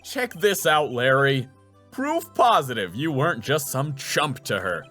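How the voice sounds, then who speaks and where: A man's voice: medium pitch, sing-song, measured pace, sharp tone, faint music behind. A man voicing an earnest young male rookie lawyer in a video game, hesitant and eager. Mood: confident.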